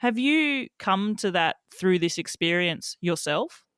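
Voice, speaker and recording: A man's voice: high-pitched, animated, takes it slowly, bright, forceful tone, clear, resonant tone, no room sound, studio quality. A man hosting a podcast, asking curious, long, winding interview questions. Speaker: podcast host.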